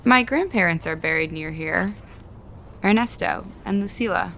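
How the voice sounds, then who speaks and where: A woman's voice: on the low side, expressive, normal speed, a bit bright. Casting: practical, slightly guarded woman, conversational audio drama delivery over faint ambient noise. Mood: reflective. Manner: matter-of-fact, conversational. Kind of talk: naturalistic audio drama dialogue.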